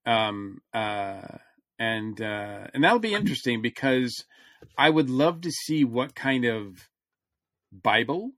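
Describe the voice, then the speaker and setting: Halting man chatting on a fan podcast. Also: mid-range voice, lively intonation, a bit bright, no room sound, clean studio sound. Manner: halting, searching for words mid-thought. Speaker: podcast co-host discussing science fiction. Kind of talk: casual, fannish panel chat.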